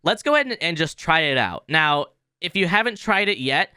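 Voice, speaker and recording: A man giving a casual software tutorial in an informal, explanatory way. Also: on the high side, very animated, fairly quick, clear, resonant tone, no echo, clean studio sound. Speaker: tutorial host.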